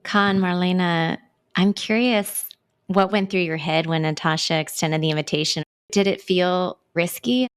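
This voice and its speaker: A woman hosting a podcast, asking her guests thoughtful, measured questions. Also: on the low side, fairly even intonation, clear, resonant tone. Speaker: podcast host. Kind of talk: interviewing guests.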